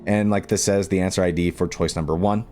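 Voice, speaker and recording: A man explaining steps in a casual coding tutorial, haltingly thinking aloud as he goes. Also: sits low, steady tone, dark tone, faint music behind. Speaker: tutorial host.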